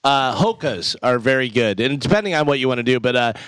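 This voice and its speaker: A man's voice: mid-range voice, animated, fairly quick, fairly bright tone, smooth, dry recording. Man co-hosting, dry studio sound. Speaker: radio show co-host. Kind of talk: morning radio banter.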